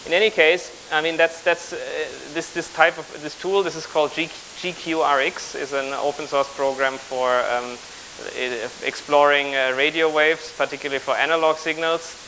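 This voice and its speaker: A man in his thirties speaking haltingly as he improvises a live technical demonstration as a conference speaker. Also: fairly high pitch, steady tone, pushed and bright, slight background noise, rough recording. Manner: halting, improvising while working at a laptop. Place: large auditorium on stage with audience.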